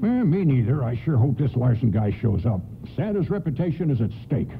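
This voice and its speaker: An actor playing a wry, excitable department store Santa in a colloquial radio-play style. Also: male voice, mid-range voice, a little fast, gravelly. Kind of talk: old-time radio play acting. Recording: narrow-band AM radio, faint noise.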